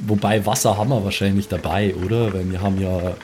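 A man's voice: low-pitched, fairly even intonation, fast, darkish tone, a little hiss or hum. A man improvising as a player in a casual tabletop roleplaying game, hesitantly groping for words. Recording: music behind the voice. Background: background music.

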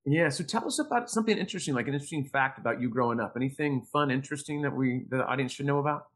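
A man's voice: medium pitch, racing, fairly bright tone. A man hosting a podcast, talking in an informal, effusive and wordy way. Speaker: podcast host. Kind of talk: casual interview chat.

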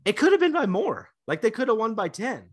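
A young man co-hosting a sports podcast, excitable and chatty with lots of fillers, a bit congested. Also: very high-pitched, fairly quick. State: congested from illness. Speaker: podcast co-host. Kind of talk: casual sports podcast chat.

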